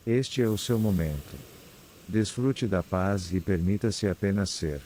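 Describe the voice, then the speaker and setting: A man with a Brazilian accent guides a scripted meditation in a calm, soothing, unhurried manner with long pauses. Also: sits low, slight background noise. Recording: clear background ambience of ocean waves. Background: sea waves ambience.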